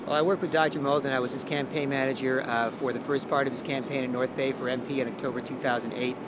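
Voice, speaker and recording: A man's voice: mid-range voice, steady tone, fast talker, very noisy. A man who talks like an informal street activist, explaining his views in plain, insistent terms. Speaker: activist speaker and interviewee. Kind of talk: impassioned street speech and informal interview.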